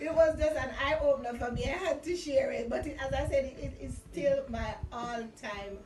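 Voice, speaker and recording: A woman's voice: sits high, a little fast, far from the mic. A woman with a Caribbean accent chatting casually and telling stories emphatically, repeating phrases for effect. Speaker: vlog co-host. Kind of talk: casual chat, storytelling. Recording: roomy echo from off mic, music behind. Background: music.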